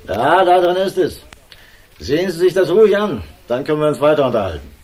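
An actor playing a condescending, menacing crime boss in a German radio thriller, curt, commanding and sardonic. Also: male voice, a little high, big pitch swings, a little fast, a little mellow.

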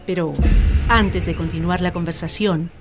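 A woman's voice: lowish voice, steady tone, normal speed, over loud music. A woman reading scripted, dramatic narration for a radio play.

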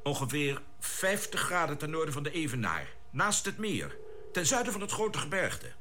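An actor playing a decisive, responsible spaceship captain in a Dutch science-fiction radio drama, giving terse commands. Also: male voice, on the high side, very animated, normal speed, pushed and bright. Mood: firm, commanding. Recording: noisy old radio drama recording.

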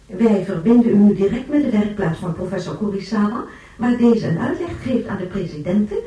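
A woman's voice: mid-range voice, expressive, fairly quick, mellow, highs cut off, close to an everyday voice. A woman playing a composed space-colony newsreader in a radio play, delivering a formal news bulletin. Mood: composed, official. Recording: very echoey, distant broadcast sound.

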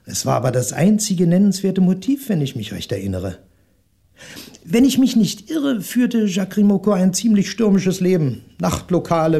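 An actor playing a polite, evasive French shopkeeper and ex-detective in a 1960s German radio crime drama, courteous but hesitant and stalling. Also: male voice, fairly high pitch, creaky, dark tone, slightly rough, full character voice.

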